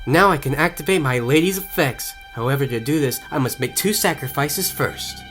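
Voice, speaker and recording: A man's voice: fairly high pitch, expressive, moderate pace, a bit bright, soft background music. Man voicing a menacing, sneering villain duelist. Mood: sinister, gloating. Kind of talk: fan audio drama acting.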